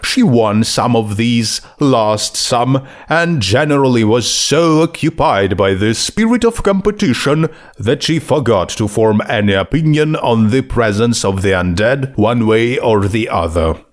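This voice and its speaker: A man narrating a scripted story in a measured, deliberate storytelling manner. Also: mid-range voice, expressive. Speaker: fan fiction audiobook narrator.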